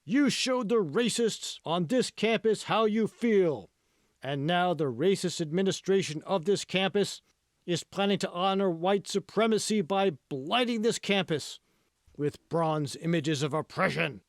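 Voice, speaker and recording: Narrator playing an angry militant rally speaker, raised pitch. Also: male voice, expressive, no echo, clean studio sound. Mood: angry, rousing. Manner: loud, emphatic, drawn-out oratory. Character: angry militant male activist rallying a protest crowd. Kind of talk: audiobook character voice.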